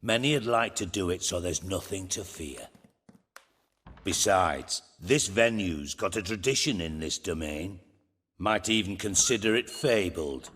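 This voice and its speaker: Very deep-voiced, composed conspirator. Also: male voice, a bit bright, husky, full character voice. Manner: measured, lecturing. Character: composed, erudite male conspirator, a calculating revolutionary. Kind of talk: dramatic video game dialogue.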